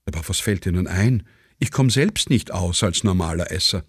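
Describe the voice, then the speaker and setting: A man's voice: low voice, animated, moderate pace, breathy edge, no room sound, clean studio sound, pitched below the performer's own voice. Man doing a fussy, grumbling Viennese chatterer. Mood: inquisitive, grumbling. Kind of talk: satirical radio play dialogue.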